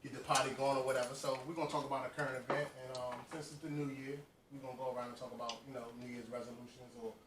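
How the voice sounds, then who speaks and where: A man in his thirties hosting a casual group podcast, talking quickly with lots of fillers. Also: medium pitch, level tone. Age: 30s. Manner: quick, filler-heavy talk, "you know what I'm saying". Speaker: podcast host. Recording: roomy, distant mic with noise.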